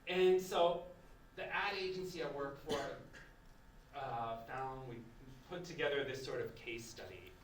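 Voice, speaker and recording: A man's voice: mid-range voice, a little slow, distant mic, a little hiss or hum. Rambling man in an echoey hall. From United States. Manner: loose, rambling with many restarts. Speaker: lecturer. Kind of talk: conversational festival lecture. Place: lecture hall. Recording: echoey room, voice from off-mic.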